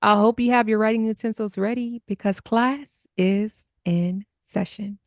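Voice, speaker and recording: A woman's voice: medium pitch, fairly even intonation, unhurried, dry recording, studio quality. A woman hosting a podcast, speaking to listeners in an upbeat, enthusiastic way, partly scripted. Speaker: podcast host.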